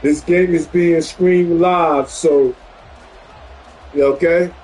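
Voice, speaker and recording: A man's voice: fairly high pitch, fairly even intonation, takes it slowly, darkish tone, breathy edge, faint music behind, rough recording. A man in his fifties trash-talking an opponent while streaming a live football video game, profane and boastful, repeating his taunts. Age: 50s. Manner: repeats phrases. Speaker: gamer streaming online match.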